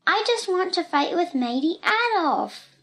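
A woman's voice: very high voice, animated, a little slow, fairly bright tone, soft background music, pitched above the performer's own voice, exaggerated voice. A woman voicing a plucky, cheeky little girl from a storybook, innocently confident and matter-of-fact.

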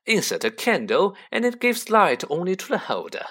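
A man's voice: high-pitched, big pitch swings, moderate pace, vocal fry, fairly bright tone, voice pushed up, full character voice. A man voicing an oily, servile and sly shopkeeper with an obsequious, deferential manner in a storybook reading. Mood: fawning. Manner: obsequious, eager to please, repeatedly deferential.

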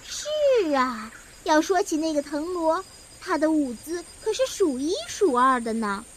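Young maidservant, played by a woman, catty and teasing, very high and unhurried, for period audio drama. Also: sing-song, slight background noise. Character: young gossiping maidservant in old China, teasing, petty. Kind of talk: Chinese audio drama acting.

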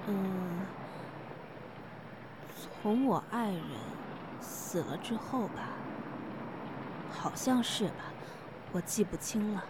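A woman's voice: medium pitch, slow pace, long pauses, lightly acted. A woman playing a hesitant, obliging younger sister in a Chinese audio drama, with a questioning manner. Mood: vague, sad. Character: hesitant, eager-to-please bereaved younger sister. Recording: clear noise behind the voice.

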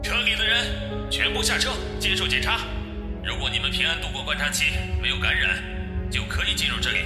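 A man's voice: fairly high pitch, sing-song, fairly quick, loud music behind the voice. An actor playing a stern, businesslike male prison gatekeeper in a radio drama. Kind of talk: dubbed Chinese radio drama acting.

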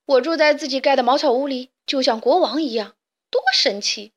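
A woman's voice: high-pitched, brisk, natural voice. A woman voicing the eldest of three little pigs, a proud and boastful piglet. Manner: boastful, then panicked.

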